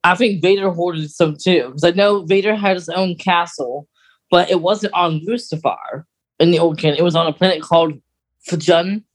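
A man co-hosting a casual fan discussion podcast, explaining details in a halting way. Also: high-pitched, fairly even intonation. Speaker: podcast co-host.

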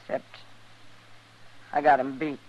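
Grim, resolute frontier wife. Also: female voice, extremely low pitch, level tone, soft background music. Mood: controlled, pointed. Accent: American. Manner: terse, deliberate. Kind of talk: old-time radio western acting. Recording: dull vintage radio recording.

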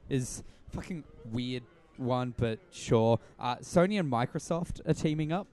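A man with a medium-pitched voice co-hosts a podcast. He presents news as casual chat, with hesitant, loosely built phrases and the odd swear word. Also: animated, measured pace, darkish tone. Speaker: podcast co-host.

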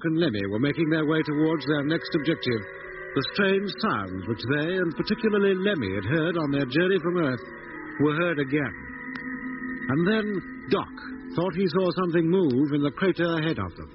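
Formal British radio narrator, fairly high-pitched. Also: male voice, expressive. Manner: formal, deliberate. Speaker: announcer and narrator. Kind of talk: 1950s radio drama scripted narration. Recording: muffled, noisy 1950s radio recording.

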